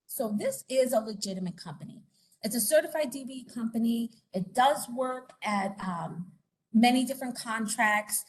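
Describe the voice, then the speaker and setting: A woman's voice: a little high, slight background noise, rough recording. A woman from Puerto Rico giving a conversational informational presentation as an investigator, with many fillers and tag questions. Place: conference or meeting room.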